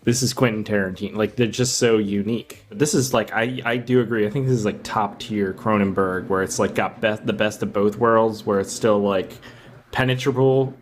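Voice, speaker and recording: A man's voice: fairly low pitch, steady tone, normal speed, slight background noise. A man co-hosting a film podcast, speaking in a casual, conversational way.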